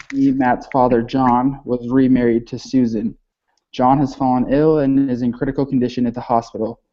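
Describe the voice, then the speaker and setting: Brief: young man, mellow voice, lo-fi call audio, relaxed short answers. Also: mid-range voice, normal speed. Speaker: student group member. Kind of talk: casual video call meeting. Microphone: computer mic on a video call.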